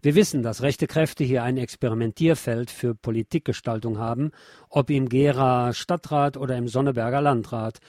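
Guy reading scripted radio news, medium pitched voice. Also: steady tone, normal speed, a little mellow, dry recording, studio quality.

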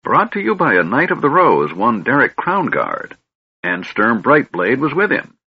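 A man voicing a befuddled old male wizard, absent-minded yet shrewd, with a high, quavering, wistful manner in an audiobook. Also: huge pitch swings, unhurried, slightly rough, heavily acted. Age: older. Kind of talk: audiobook character voice. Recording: dull, slightly lo-fi recording.

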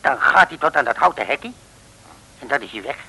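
An actor playing a simple, chatty lighthouse keeper in an old comic radio serial, telling things in an earnest, folksy way. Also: male voice, mid-range voice, big pitch swings, brisk, sharp tone, slightly rough, heavily acted. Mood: mysterious, earnest. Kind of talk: 1960s Dutch comic radio serial acting. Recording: hissy old radio recording.

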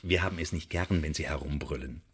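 An actor playing a menacing, mock-polite secret-service interrogator in a radio drama, articulate and sardonic. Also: male voice, rumbling low, brisk, a bit bright, slightly rough, full character voice. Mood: mocking menace.

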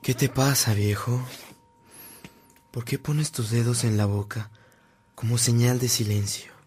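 Slow, tender old colleague. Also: male voice, medium pitch, fairly even intonation, a little mellow, breathy edge. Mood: tender, moved. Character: compassionate, admiring old male colleague of a priest. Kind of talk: Spanish-language radio drama acting.

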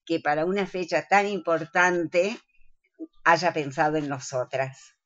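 A woman speaking as a guest actress in a live radio interview, courteous and deliberate. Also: low voice, fairly even intonation, a bit bright, no room sound, dull audio.

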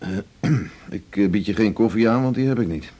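Senior doctor and mentor, radio drama, played by a man: low-pitched, brusque, teasing, middle-aged. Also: normal speed, mellow, natural voice. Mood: teasing, testing. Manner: brusque, wry, bossy. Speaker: actor. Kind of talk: 1980s Dutch radio drama acting.

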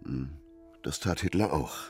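An actor playing a dry, probing police detective, terse and deadpan, in a naturalistic radio crime drama. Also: male voice, a bass voice, unhurried, soft background music.

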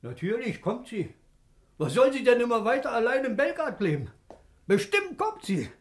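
A man acting a lonely, hopeful aging father with a creaky voice and eager, emotional delivery in a 1950s German radio drama. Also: very high-pitched, very animated, moderate pace. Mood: insistent hope.

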